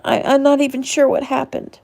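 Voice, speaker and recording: A woman's voice: high-pitched, monotone, moderate pace, creaky, a little mellow, voice pushed up. A woman voicing a timid, distraught, self-blaming character in a story, stammering and tearfully repeating her words. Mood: anguished, self-blaming.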